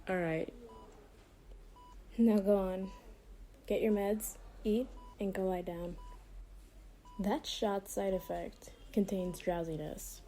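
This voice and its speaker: A woman playing a doctor with a split persona, tender yet menacing, in a soft, hushed ASMR roleplay with a condescendingly sweet manner. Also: medium pitch, steady tone, rough recording. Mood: playful, affectionate. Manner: slow, hushed, breathy delivery, condescendingly sweet. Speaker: voice performer.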